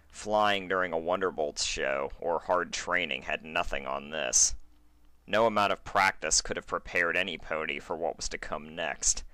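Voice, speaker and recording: A man's voice: deep voice, bright, forceful tone, no echo. A man who reads fan fiction aloud, chatting to listeners and narrating stories expressively. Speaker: amateur fan fiction reader. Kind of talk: chatty intro, then expressive story narration.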